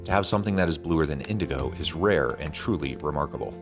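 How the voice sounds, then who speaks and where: A man reading scripted promotional narration in a measured announcer style. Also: extremely low pitch, music in the background. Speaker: podcast host reading promos.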